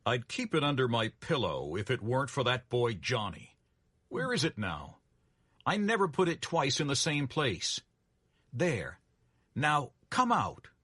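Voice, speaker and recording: A man's voice: mid-range voice, huge pitch swings, a little slow, pushed and bright, breathy edge, no room sound, studio quality, heavily acted. A man playing a friendly, eager old hotel keeper in a dramatic story reading.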